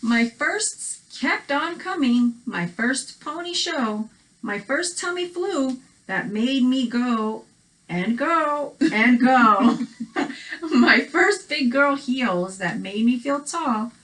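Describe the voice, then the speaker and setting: A woman co-hosting a children's read-aloud, telling the story in an animated, reactive way and chatting casually. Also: high voice, takes it slowly, poor audio.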